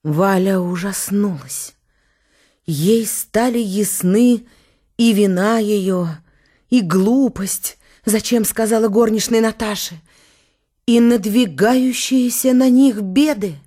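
Unhurried woman narrating a radio drama. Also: mid-range voice, mellow.

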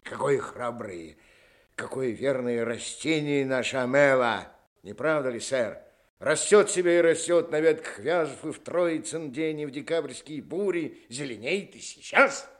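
An actor playing a talkative, humble old school caretaker with a folksy, deferential manner in 1960s radio drama style. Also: male voice, mid-range voice, expressive, measured pace, close to an everyday voice. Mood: humble, eager. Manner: deferential, folksy, talkative, often says sir.

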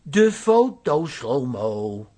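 An actress playing a bossy, brazen schemer in a radio drama, with a blunt, cajoling way of talking and a husky voice. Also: female voice, very deep voice, very animated, drawn-out words, mellow, heavily acted. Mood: impatient. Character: bossy, brazen schemer staging a fake advert photo. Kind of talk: Dutch radio drama acting.

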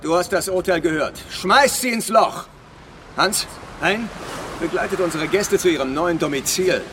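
The ruthless boss of armed survivors in a radio horror play. His fairly high voice is scornful and commanding, over clear background noise. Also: moderate pace, a bit bright. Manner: barking orders, scornful. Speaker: actor in a horror radio drama.

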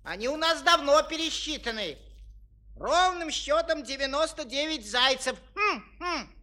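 A man's voice: extremely high pitch, lively intonation, measured pace, bright, forceful tone, heavily acted. An actor playing a pompous, threatening king in a children's audio play, humming "hm" between phrases. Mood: haughty. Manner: pompous, punctuates speech with humming "hm".

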